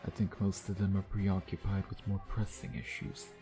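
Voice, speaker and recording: A man's voice: deep voice, little pitch movement, normal speed, creaky, over music. A man playing a suave, predatory vampire in an intimate ASMR roleplay, taunting in a sardonic, flirtatious way with murmured asides. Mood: playful menace. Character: suave, teasing, predatory male vampire, playfully menacing.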